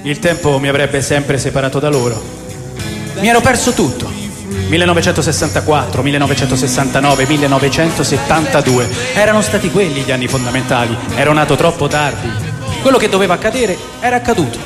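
An actor playing a wistful, intense rock fan narrating a radio drama monologue in a theatrical way, from hushed to emphatic. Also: male voice, on the high side, expressive, quick pace, lightly acted. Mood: bitter regret. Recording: long reverb, clear music bed.

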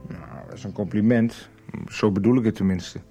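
A man's voice: lowish voice, moderate pace, warm tone, soft background music, lightly acted. An actor playing a boastful, flirtatious man in his twenties in a radio soap, stumbling a little over his words. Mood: teasing, curious. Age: 20s. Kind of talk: 1990s Dutch radio soap dialogue.

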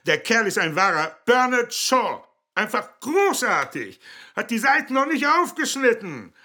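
Tipsy, excitable radio-play drunkard; sharp, high voice, emphatic and rambling. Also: male voice, unhurried, full character voice. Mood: amazed, excited. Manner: emphatic, rambling, clipped exclamations. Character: excitable, drunken man marvelling like a child. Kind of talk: German radio drama acting.

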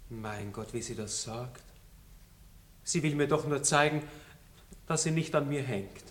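A man's voice: mid-range voice, a little slow, fairly bright tone, close to an everyday voice. An actor playing a young nobleman pleading with his older lover in a radio play. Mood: hurt. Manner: pleading, heartfelt. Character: young male noble lover, ardent and devoted. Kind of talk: 1960s radio play acting.

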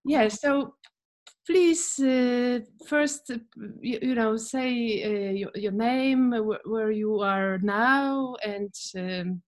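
A woman of about forty with a slightly high voice, who talks slowly and haltingly. She searches for words and starts her phrases over and over. She asks questions as an interviewer in a relaxed chat. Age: 40s. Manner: hesitant, halting, with many "uh" fillers and restarts. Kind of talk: casual interview.

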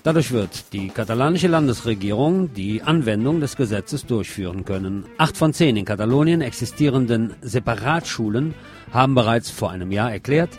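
A man presents scripted radio news in a middle-pitched voice. He drops in the occasional informal aside. Music plays behind him. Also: expressive, normal speed.

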